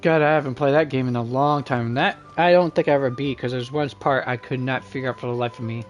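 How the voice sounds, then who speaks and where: A man's voice: mid-range voice, fast talker. A man chatting casually and rambling a little as he hosts a live game stream. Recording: music playing behind the voice. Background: music.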